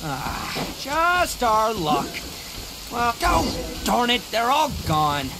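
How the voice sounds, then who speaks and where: A man's voice: very high-pitched, very animated, very slow, vocal fry, very noisy, heavily acted. An actor playing a brash, boastful young oni man in a video game, with cocky, slangy, exclamatory bragging. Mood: flustered. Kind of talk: animated video game character acting.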